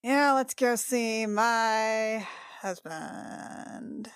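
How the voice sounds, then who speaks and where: A woman's voice: a little high, very slow, smooth, no echo. Woman, tabletop game player, casual improvised banter, dry sound. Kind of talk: casual improvised in-character chat.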